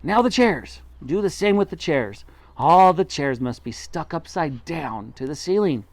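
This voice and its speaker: A reader voicing an excited, bossy father monkey who shouts urgent commands in a high, loud voice. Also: unhurried, dry recording.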